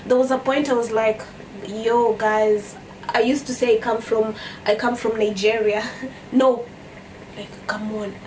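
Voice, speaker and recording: High-voiced young Kenyan woman, distant mic. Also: little pitch movement, unhurried, darkish tone. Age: young adult. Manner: chatty, repeats phrases for emphasis. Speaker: vlogger. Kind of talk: casual storytime chat to camera. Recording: distant mic with background noise.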